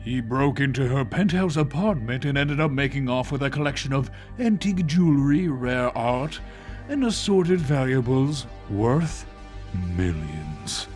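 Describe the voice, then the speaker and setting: An actor playing a serious, measured police chief in an audio drama, briefing officers in a grave, deliberate way. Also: male voice, mid-range voice, sing-song, a little mellow, soft background music. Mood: serious, businesslike.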